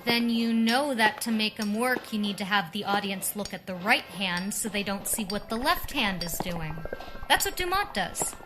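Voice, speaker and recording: An actress playing a wry, determined masked heroine and quick-witted strategist in a pulp radio drama. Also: female voice, medium pitch, lively intonation, music in the background, lightly acted. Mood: wry, assured.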